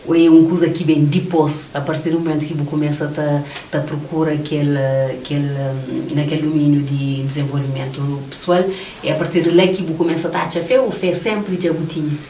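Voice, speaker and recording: A woman interviewer talks casually with a guest in a low-pitched voice. The recording is roomy and picked up at a distance, with slight noise behind her. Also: steady tone, fairly quick, dark tone. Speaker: interviewer, host. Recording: roomy, distant mic, faint background noise.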